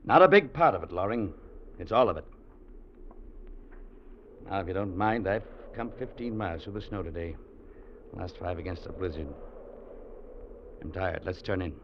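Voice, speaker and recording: An actor playing a hard, dutiful Mountie sergeant who talks curtly and sternly, in 1940s radio drama style. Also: male voice, sits low, expressive, normal speed, pauses often. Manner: curt, stern and unyielding. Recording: dull old radio recording, faint hiss.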